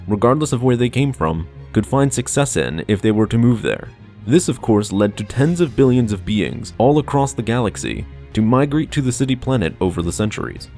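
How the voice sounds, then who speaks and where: A man reads a scripted explainer as narrator, in a measured, expository way. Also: lowish voice, darkish tone. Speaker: narrator of a lore explainer channel. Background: background music.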